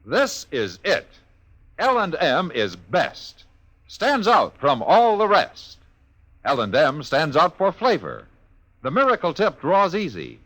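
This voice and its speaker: 50s american radio ad guy, highish voice, super swoopy pitch, punchy slogans. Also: huge pitch swings, slow, fairly bright tone, rough recording. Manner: emphatic, slogan-driven delivery. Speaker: cigarette commercial announcer. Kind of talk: 1950s radio advertising pitch.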